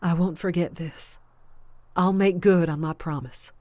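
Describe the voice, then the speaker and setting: A woman's voice: on the low side, fairly even intonation, unhurried, dark tone, no room sound, natural voice. A woman playing a humbled but loyal bandit in a fantasy drama, with plain, folksy outlaw speech. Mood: humbled, sincere. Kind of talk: fantasy audio drama acting.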